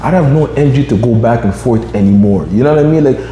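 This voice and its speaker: A man's voice: medium pitch, fast talker, dark tone, reverberant, big-room sound, a little hiss or hum. A man in his twenties hosting a podcast, chatting casually and rambling with lots of fillers. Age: 20s. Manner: rambling, many fillers like "you know what I mean". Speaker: podcast host.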